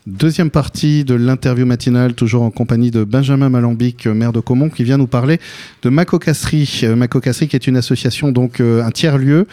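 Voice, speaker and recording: A man with a dark, relaxed voice hosts an interview in a conversational way. His pitch sits in the middle of the male range, and he often hesitates and rewords his thoughts as he speaks. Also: brisk. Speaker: interviewer and radio host.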